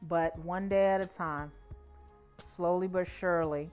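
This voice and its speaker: A woman's voice: fairly low pitch, fairly even intonation, a little slow, a little mellow, clear, resonant tone, soft background music. A woman in her forties hosting a podcast, speaking in an earnest, uplifting way. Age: 40s. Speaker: podcast host. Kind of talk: podcast introduction and conversation.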